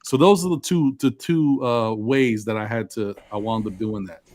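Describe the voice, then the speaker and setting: A man with a deep voice and precise, articulate enunciation, talking reflectively about himself in a group discussion. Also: normal speed, a little mellow, dry recording, studio quality. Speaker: panel participant.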